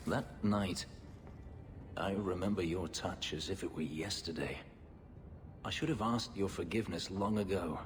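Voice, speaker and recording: Game role: bitter ex-slave elf warrior, very low solemn voice, reverberant hall sound. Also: male voice. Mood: remorseful, tender. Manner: brooding, solemn, measured. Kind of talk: dramatic video game acting. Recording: long hall reverb, background noise.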